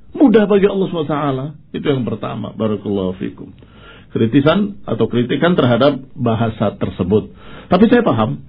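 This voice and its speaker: A man's voice: medium pitch, slightly rough. A man giving a religious lecture as an Islamic preacher, didactic and emphatic, sprinkling in Arabic phrases. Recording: dull, muffled lo-fi lecture recording.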